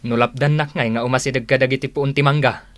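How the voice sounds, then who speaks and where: A man's voice: medium pitch, fairly even intonation, quick pace, no echo, natural voice. A man playing a stubborn, greedy, domineering older brother in a radio drama, with an Ilocano accent. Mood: scheming.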